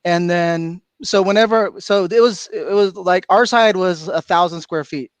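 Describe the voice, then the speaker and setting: A middle-aged man from Florida tells stories as a livestream guest. He laughs a lot and keeps the chat lively. His voice sits fairly high, and the call audio is dry. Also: measured pace, clean tone, dry recording. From Florida, USA. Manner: animated storytelling, laughs often. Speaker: guest speaker. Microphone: video call.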